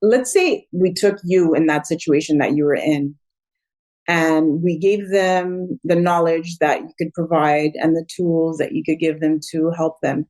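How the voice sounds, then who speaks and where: Female interviewer with a low-pitched voice, chatty and effusive, loosely wandering through informal conversation. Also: unhurried, darkish tone, low-quality recording. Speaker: podcast host, interviewer.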